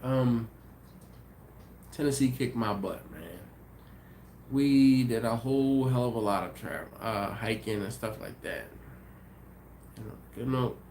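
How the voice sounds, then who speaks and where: Man, livestream host, congested, sniffly, relaxed rambling chat, faint hiss. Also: mid-range voice, measured pace, long pauses. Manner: easygoing, thinks aloud with many ums and restarts. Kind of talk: casual live chat reading viewer comments.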